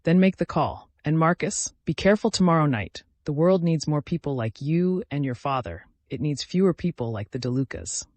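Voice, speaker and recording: A man's voice: fairly high pitch, animated, moderate pace, few pauses, darkish tone, no room sound, natural voice. A man voicing a worried, frank friend who pleads and cautions in a dramatic audio story. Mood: resigned, supportive.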